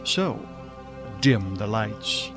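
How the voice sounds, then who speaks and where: A man's voice: on the low side, sing-song, drawn-out words. A man delivering a theatrical, scripted radio show announcement. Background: prominent music.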